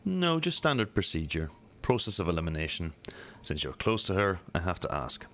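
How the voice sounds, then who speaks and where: A man acting as a methodical police detective in an amateur radio drama, with measured, formal, matter-of-fact delivery. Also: deep voice, big pitch swings, a bit bright, soft background music, heavily acted. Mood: businesslike.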